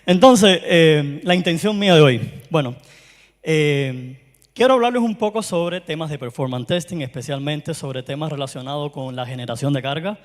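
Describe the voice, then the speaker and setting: A man with a Cuban Spanish accent giving a conference talk, chatty and friendly, with frequent hesitations and restarts. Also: on the high side. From Cuba. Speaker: conference speaker. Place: conference hall. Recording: long hall reverb.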